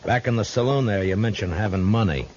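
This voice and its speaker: An actor playing a firm, plain-spoken marshal in a 1950s radio western, stern and probing. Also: male voice, fairly low pitch, fast talker, lightly acted. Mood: stern, exasperated. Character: firm, plain-spoken frontier marshal, tough but fair. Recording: dull, hissy 1950s radio recording.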